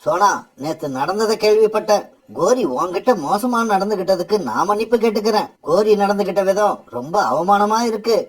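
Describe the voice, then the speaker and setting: A scheming father bird from a children's cartoon, played by a man. His voice is high-pitched for a male, with a sly, boastful edge that suits a cunning villain. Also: brisk. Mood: falsely friendly. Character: cunning, murderous father bird plotting revenge. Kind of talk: children's cartoon story acting.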